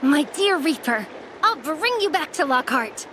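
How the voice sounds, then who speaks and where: A young anime game character with a high voice. She muses to herself, brooding and softly determined. A faint ambient noise sits behind the voice. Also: expressive, unhurried. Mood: pensive resolve. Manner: musing to herself. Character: brooding young woman fixated on her reaper, softly determined.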